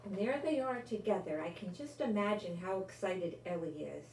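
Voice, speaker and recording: An older woman reads a children's story aloud in a slow, expressive way, pausing to add her own comments. She sounds a little far from the microphone in an echoing room, with faint noise behind her. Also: medium pitch. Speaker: grandmother reading a children's book aloud. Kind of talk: storybook read-aloud with asides. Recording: roomy, distant microphone, faint background noise.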